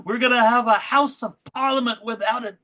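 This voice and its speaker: A woman's voice: fairly high pitch, fairly even intonation, brisk, bright, forceful tone. A woman playing a hearty, effusive aristocrat in a comedy sketch, with a jovial and grandly theatrical manner.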